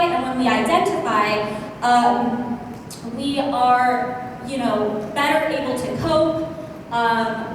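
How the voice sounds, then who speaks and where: Woman lecturing in an echoey hall. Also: sits high. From United States. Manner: earnest, many fillers, self-aware about loud voice. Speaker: conference speaker presenting research. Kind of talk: academic conference talk. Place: conference hall. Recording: echoey hall from far off, noisy.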